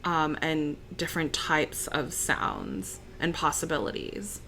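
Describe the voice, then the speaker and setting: Slow, bright-toned young woman chatting. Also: sits low, a little hiss or hum. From United States. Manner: relaxed and chatty, many fillers, laughs easily. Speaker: interview guest, opera singer. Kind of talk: casual conversational interview.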